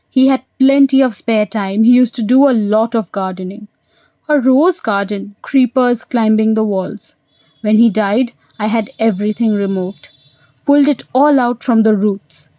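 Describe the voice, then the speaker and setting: A bitter ageing husband and dancer in an audio play, acted by a woman, speaking in a high voice. He is sardonic and brooding, posing scornful questions at a slow pace, in very dry, close sound. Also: warm tone, no room sound, lightly acted. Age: older.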